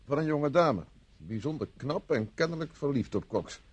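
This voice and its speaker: A man acting in a radio play as an urbane gentleman witness, speaking in a polite, wry way. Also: fairly high pitch, expressive, moderate pace, husky. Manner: polite, wry, leisurely phrasing. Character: urbane, wry gentleman friend giving a statement.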